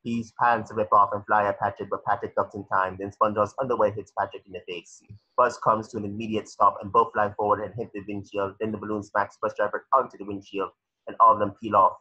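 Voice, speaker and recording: A man's voice: fairly low pitch, level tone, fast talker, pushed and bright, husky, lo-fi sound. A man narrating a cartoon script's stage directions in a matter-of-fact way, sometimes stumbling over the words. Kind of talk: reading a cartoon script aloud.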